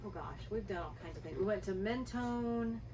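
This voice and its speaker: A woman's voice: medium pitch, normal speed. Noisy recording, lady a bit off the mic, easygoing travel vlog chat with her partner. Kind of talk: casual unscripted chat with partner. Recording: distant mic, clearly noisy background.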